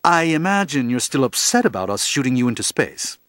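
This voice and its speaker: An actor playing a proud, middle-aged sorcerer superhero in a video game, with pompous, formal, declamatory delivery and a gravelly voice. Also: male voice, mid-range voice, very animated, brisk, a bit bright, no room sound, clean studio sound. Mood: haughty, dry. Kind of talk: theatrical video game voice lines.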